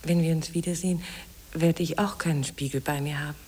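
An actress playing a yearning, wounded woman addressing her lover in a 1950s German radio drama, with hushed, intimate, poetic phrasing. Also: deep voice, fairly even intonation, fairly quick, breathy edge. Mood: intimate, longing. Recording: old radio play on cassette tape.

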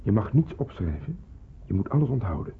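An actor playing a grave, careful man who secretly arranges wartime hiding in a Dutch radio play, giving deliberate instructions. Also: lowish voice, sing-song, creaky, a little hiss or hum. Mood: instructing. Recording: muffled, phone-like old radio recording.

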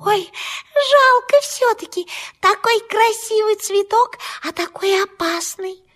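A woman's voice: very high voice, slow pace, a bit bright, full character voice. A woman voicing a curious, trusting little boy fawn in a children's audio fairy tale, childlike and eager. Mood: curious, wistful.

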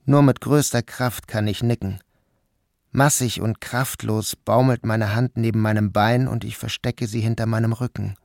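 A man reading a literary story aloud as a first-person narrator, with a measured and even delivery. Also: on the low side, vocal fry, no echo, clean studio sound. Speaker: audiobook narrator. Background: music.

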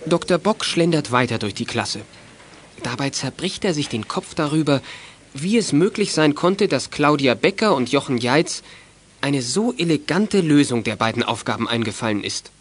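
An actor narrating a children's detective audio drama as a composed storyteller, in scripted storybook style. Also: male voice, a little high, expressive, normal speed. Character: composed male storyteller of a youth detective tale.

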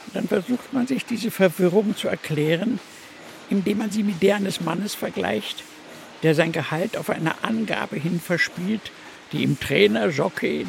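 A very gravelly, high-pitched male voice for a radio drama monologue. He is brooding and bitter, swinging widely in pitch and stressing words with slow, dramatic care. There is faint noise behind him. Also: exaggerated voice. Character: brooding man recounting a betrayed friend's suicide, bitter and baffled. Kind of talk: literary radio play monologue.

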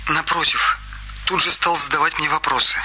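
A man's voice: on the high side, fairly even intonation, moderate pace, husky, exaggerated voice. An actor playing a young male student who earnestly explains how a murder was staged, in a radio drama. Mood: tense. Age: young adult. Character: young male student, nervous but sharp-minded. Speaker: witness explaining the crime. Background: loud music score.

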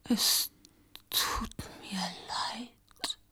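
Dying woman, whispering faintly. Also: bright, forceful tone. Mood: remorseful, desperate. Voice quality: breathy, whispery, very weak. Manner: halting, faltering. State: dying, close to tears. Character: dying, remorseful woman, fashion model afraid of ageing. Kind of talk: radio drama dialogue.

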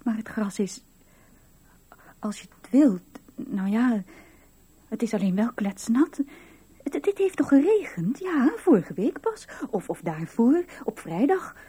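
Very breathy, shy woman. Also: fairly high pitch, big pitch swings, warm tone, heavily acted. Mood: nervous chatter. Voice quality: very husky, breathy. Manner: hesitant, halting. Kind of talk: radio drama.

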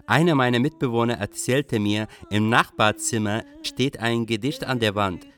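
A man acting as a young Iraqi refugee, telling his story in a measured radio play narration. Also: on the low side, a bit bright, no echo, clean studio sound.

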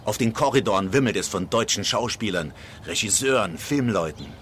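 Low-voiced brash screenwriter, noisy background. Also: male voice, expressive, fairly quick, fairly bright tone. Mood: brisk, sardonic. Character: brash, cynical screenwriter in postwar Germany. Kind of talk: German radio drama acting with narrated reports.

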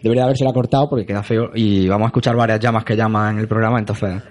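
A man from Spain with a Spanish accent, hosting a laid-back podcast conversation. He talks in a soft-spoken, thoughtful way and fills the pauses with murmured agreement. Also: lowish voice, fairly even intonation. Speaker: podcast host. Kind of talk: casual conversation.

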